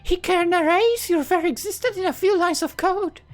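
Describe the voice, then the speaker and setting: Man playing a high-voiced, solemn female zealot. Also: normal speed. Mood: stern warning. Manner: solemn, grandiose, admonishing.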